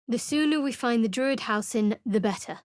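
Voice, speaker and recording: Guarded and sardonic, this young female cleric speaks in a fairly high, dry-edged voice, as an actress plays her. Her remarks come out cool and sarcastic, recorded close and completely dry in a studio booth for a game. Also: moderate pace, no room sound, natural voice. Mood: wry. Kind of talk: video game voice lines. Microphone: close studio mic.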